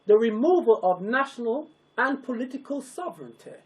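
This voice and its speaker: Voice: mid-range voice, animated, moderate pace. A narrator delivering a scripted public address in solemn, emphatic, declamatory style, breaking sentences into short, weighted phrases.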